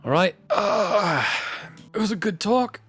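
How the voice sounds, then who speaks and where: A man's voice: high voice, expressive, slow, a bit bright, husky, pitched above the performer's own voice, heavily acted. A man playing a tired, easygoing working man who rambles casually and amiably in a tabletop game. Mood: relaxed goodnight.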